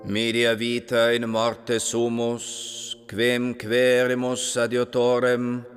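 Actor as a slow, echoing monotone chanter. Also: male voice, mid-range voice, soft background music, natural voice. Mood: solemn. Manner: solemn, measured, monotone. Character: solemn chanter of Latin church prayers. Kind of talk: liturgical chant in a radio play. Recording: long church-like reverb.

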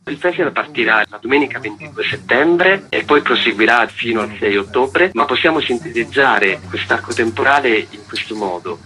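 A man's voice: medium pitch, expressive, normal speed, hardly any pauses, pushed and bright, rough recording. A man giving an explanatory radio interview as a festival's artistic director, articulate and wordy with formal, intellectual phrasing.

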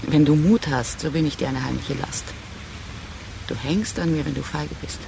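A woman's voice: low voice, normal speed, gravelly, background noise. An actress in a radio drama playing a proud, reproachful woman leaving her partner, insistent and speaking in clipped, accusing phrases. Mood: bitter, resolute. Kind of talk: restrained radio drama dialogue.

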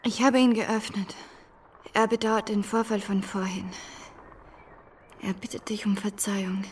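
An actress playing a gentle, composed young wife in a radio drama. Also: female voice, medium pitch, fairly even intonation, measured pace, a little hiss or hum, close to an everyday voice.

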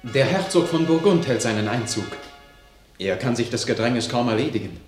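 Man playing excited herald type courtier, very lively pitch, echoey room with a bit of music behind. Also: normal speed, natural voice. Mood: excited, reporting. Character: excited courtier announcing a great arrival. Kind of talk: classical German stage verse radio drama.

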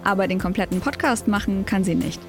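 A woman's voice: mid-range voice, fast. A woman podcast host in a lightly scripted, conversational trailer. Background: faint music.

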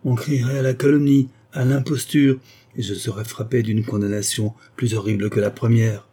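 A man's voice: mid-range voice, flat delivery, moderate pace, warm tone, natural voice. A man reading aloud, voicing a condemned young woman who is proud, resolute and set on revenge, with an intense, defiant delivery.

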